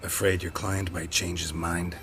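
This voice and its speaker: An actor playing a stoic, dry-witted monster hunter in a video game, speaking in laconic, deadpan, clipped sentences. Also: male voice, sits low, unhurried, soft background music. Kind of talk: video game character acting.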